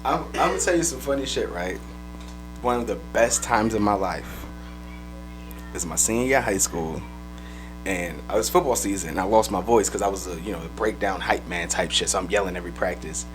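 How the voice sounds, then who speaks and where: A man co-hosting a podcast, telling loose, profane stories in casual chat, his voice a little raspy. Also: sits low, over music, low-quality recording. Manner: fast, loose, profane storytelling. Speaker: podcast co-host.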